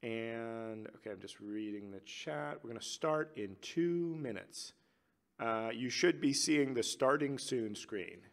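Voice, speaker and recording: Gravelly man hosting a live stream. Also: mid-range voice, expressive, unhurried. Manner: loose and chatty, reading viewer chat aloud. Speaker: livestream host. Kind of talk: casual live-stream chat with viewers.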